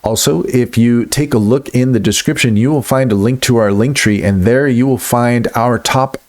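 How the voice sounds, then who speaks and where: A man's voice: medium pitch, moderate pace, a little mellow. A man hosting a casual tutorial-style review, partly reading aloud from notes as he narrates. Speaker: video host and software reviewer.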